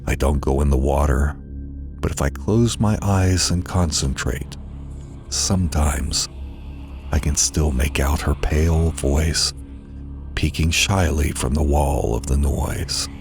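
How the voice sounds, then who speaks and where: A man's voice: a bass voice, slow, over music. A man narrating a scripted first-person horror story in a somber, suspenseful, dramatic manner.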